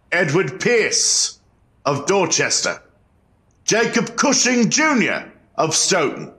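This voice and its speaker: A man's voice: sits high, sharp tone, heavily acted. A man playing a solemn, formal colonial court clerk in a historical reenactment, with a ceremonious, declamatory manner.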